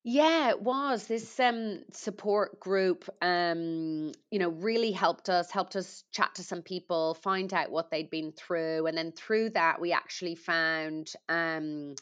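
A woman's voice: on the low side, slow, few pauses, clean tone, highs cut off. A middle-aged woman with an Irish accent, a podcast guest explaining things carefully in a conversational interview, with frequent ums. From Ireland.

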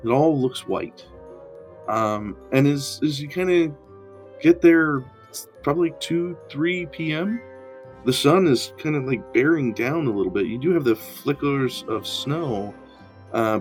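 A man as game master, describing scenes and ruling on rolls. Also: medium pitch. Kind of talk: narrating a tabletop game.

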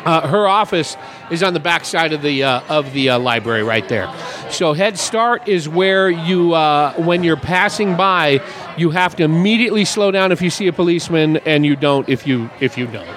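A man's voice: a little high, animated, normal speed, fairly bright tone, a faint crowd. A man in his fifties hosting a casual chat in a café, joking and rambling through stories. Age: 50s. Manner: jokey, rambling storyteller. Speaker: podcast host.

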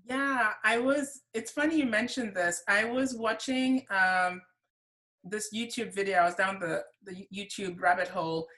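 A woman's voice: medium pitch, measured pace, fairly bright tone. A woman answering interview questions with earnest, articulate explanations. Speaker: guest.

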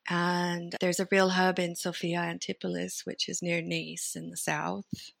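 A woman's voice: fairly low pitch, completely flat delivery, a little slow, gravelly, a bit bright, dry recording. A middle-aged American woman chatting as an interview guest, talking freely and thinking aloud with fillers. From United States and Sweden. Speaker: interview guest, company founder. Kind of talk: casual conversational interview.

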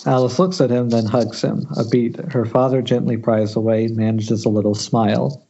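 A man's voice: mid-range voice, level tone, moderate pace, dark tone, slight background noise. A man reading stage directions as narrator at an amateur table read, recorded over a muffled internet call.

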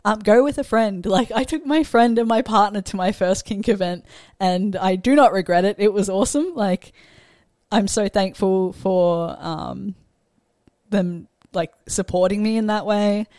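A woman in her late twenties talks casually as a podcast panelist. Her voice has a middle pitch. The recording is dry and free of room sound. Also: a little slow, a little mellow, no room sound. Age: 20s. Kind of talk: casual chat.

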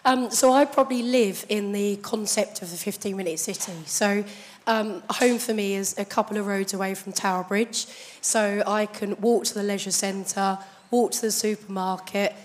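A woman with a croaky, hoarse voice asks a conference panel a long question from the audience in a chatty, anecdotal way. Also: medium pitch. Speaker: audience member asking the panel.

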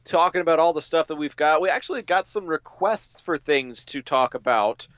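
A man's voice: fairly high pitch, expressive, normal speed, a bit bright, no echo. A man co-hosting a podcast in casual, conversational chat on a slightly lo-fi voice track. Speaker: podcast co-host.

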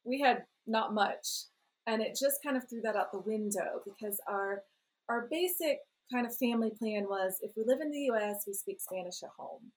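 A woman's voice: on the high side, normal speed, poor audio. A woman in her thirties, a parent and blogger, talking in a rambling, self-deprecating way and laughing often. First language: English. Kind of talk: relaxed conversational interview.

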